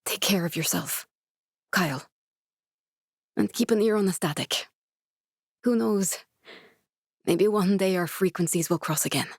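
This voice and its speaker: A woman's voice: on the low side, a little slow, long pauses, slightly rough, no echo. Earnest woman scientist, close dry sound. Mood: tender, sad. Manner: earnest, direct, instructive. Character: determined, caring young woman scientist guiding a survivor. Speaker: video game voice actress. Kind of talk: naturalistic dramatic video game dialogue.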